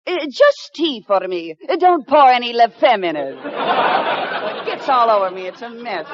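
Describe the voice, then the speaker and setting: A coy, scheming mock French mademoiselle, as a woman puts her on, mangling English in a thick fake French accent. The voice sits high and swoops widely. It comes through an old, dull radio broadcast with a studio audience and hiss behind it. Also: sing-song, measured pace, crowd noise. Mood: coy, mock-grand. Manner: broken, comic French-flavored English.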